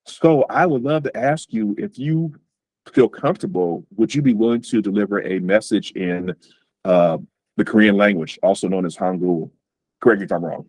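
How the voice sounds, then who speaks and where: A man's voice: lowish voice, moderate pace, a little mellow, no room sound, poor audio. A man hosting an interview over a video call, speaking casually with many fillers and repeated words. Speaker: host.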